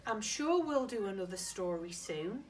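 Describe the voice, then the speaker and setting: A woman's voice: medium pitch, animated, moderate pace, distant mic, a little hiss or hum. A woman reading a children's story expressively, dramatic and addressing young listeners directly. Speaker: primary school teacher reading to children.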